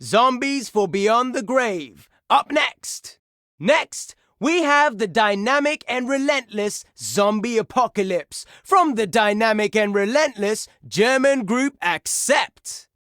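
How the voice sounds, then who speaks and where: A brash game-radio metal DJ with a high-pitched, very animated voice. He announces tracks with punchy showmanship and takes his time over the words, in a dry, close studio sound. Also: slow, dry recording, heavily acted. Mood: upbeat. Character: brash, excitable male heavy metal radio DJ. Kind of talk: radio DJ announcing songs and listener requests.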